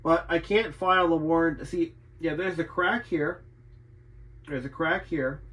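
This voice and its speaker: Bright-voiced man thinking aloud over a repair. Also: on the high side, fairly even intonation, measured pace. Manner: thinking aloud, repeating himself, mild swearing. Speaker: radio repair technician and channel host. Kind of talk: unscripted talk while inspecting and repairing.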